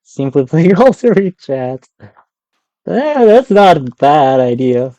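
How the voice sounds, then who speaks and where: A man with an Argentinian accent and Spanish as his first language talks in a loose, conversational way while live streaming a game. His voice is mellow and smooth, sitting at an ordinary pitch. The sound is close and dry, with very little room on it. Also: very animated, slow, dry recording, muffled recording. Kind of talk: casual live stream chat while gaming.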